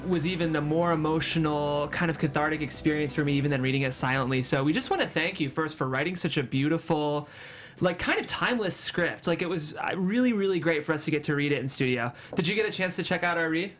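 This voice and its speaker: High-voiced American man, effusive show host. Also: fast, fairly bright tone, slight background noise. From Cleveland, Ohio, USA. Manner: effusive, complimentary. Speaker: host of a script table-read show. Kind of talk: enthusiastic interview chat.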